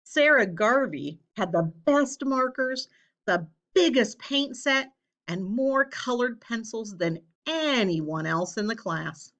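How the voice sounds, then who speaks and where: A woman reading a children's story aloud in an expressive, measured way, pausing for emphasis. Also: on the high side. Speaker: storybook reader. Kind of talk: children's read-aloud, scripted narration.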